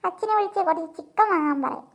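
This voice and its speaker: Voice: extremely high pitch, quick pace, highs cut off. A performer voicing a flustered mother in a comic family cartoon, soothing and placating her children. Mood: coaxing, placating.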